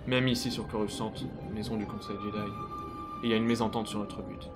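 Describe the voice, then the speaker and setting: A man playing a composed, principled Jedi knight and mentor in a comic dub, measured and solemn. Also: on the low side, flat delivery, close to an everyday voice. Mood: troubled, reflective. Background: loud music.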